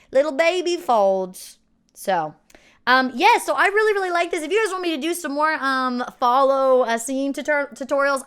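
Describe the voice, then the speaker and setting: Bubbly, rambling woman with a smooth voice. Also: a little high, fairly bright tone. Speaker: reaction video host. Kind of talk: casual chat.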